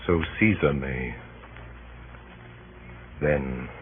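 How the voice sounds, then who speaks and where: Brooding noble Roman, very slow, grave classical radio acting over faint hiss. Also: male voice, very deep voice, full character voice. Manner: measured, deliberate delivery with long pauses. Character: brooding, high-minded Roman nobleman weighing a conspiracy. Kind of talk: 1930s radio Shakespeare acting. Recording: muffled, narrow-band, noisy 1930s radio broadcast.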